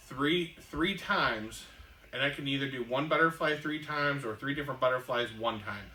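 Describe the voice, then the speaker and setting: Guy explaining a board game, lots of ums, bright tense voice, kinda far from the mic in an echoey room. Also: medium pitch. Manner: halting, many ums and restarts. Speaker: podcast co-host teaching a board game. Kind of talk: casual explaining.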